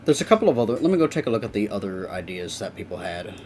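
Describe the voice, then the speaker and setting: A man's voice: fairly low pitch, very animated, fast, a little mellow, a little hiss or hum. A young man chatting casually with viewers on a live stream while he works, rambling and swearing now and then.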